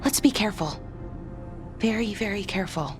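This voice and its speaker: A woman acting an anxious, halting young elf heroine. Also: medium pitch, close to an everyday voice. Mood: wary, hesitant. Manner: halting, hesitant, repeats herself. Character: anxious, earnest, self-blaming young elf woman adventurer. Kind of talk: video game voice acting. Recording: game music behind the voice.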